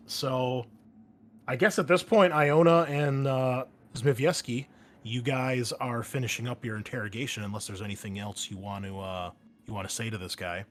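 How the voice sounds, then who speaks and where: A man's voice: on the low side, slight background noise. A young man running a tabletop roleplaying game, recapping from his notes in an easygoing way with many fillers. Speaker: game master.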